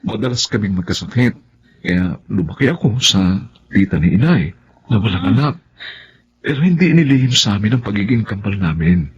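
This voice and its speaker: A man's voice: fairly low pitch, expressive, a little fast, breathy edge. A man playing an earnest, mild-tempered young boyfriend in a Tagalog radio drama, explaining himself. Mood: calm, earnest. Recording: muffled audio with background noise.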